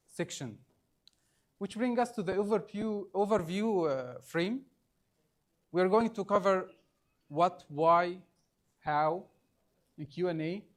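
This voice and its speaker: Slow high-voiced trainer. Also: male voice. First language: Arabic. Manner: deliberate, coaxing, quizzes the audience often. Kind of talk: live training workshop.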